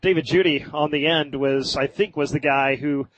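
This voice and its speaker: A man doing live radio play-by-play of high school football in short, clipped phrases. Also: fairly even intonation, normal speed, a bit bright, no echo, highs cut off. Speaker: play-by-play sports announcer.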